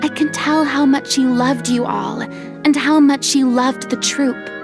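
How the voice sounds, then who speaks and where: A woman's voice: high-pitched, fairly even intonation, a little slow, vocal fry. An actress playing an imperious young woman who scolds others and then speaks earnestly, in a video game. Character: imperious young woman, theatrical stage critic. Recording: soft music behind.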